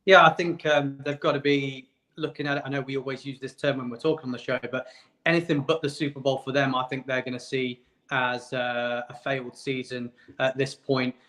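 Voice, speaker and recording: A man's voice: mid-range voice, level tone, brisk, fairly bright tone. A man who is a regular podcast panelist, giving casual sports analysis.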